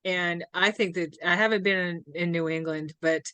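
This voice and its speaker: A woman's voice: fairly low pitch, fairly even intonation, normal speed, pushed and bright, clear, resonant tone, no echo. A woman hosting an interview on a video call, chatty, laughing often, asking hesitant, trailing questions. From US South. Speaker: host, interviewer.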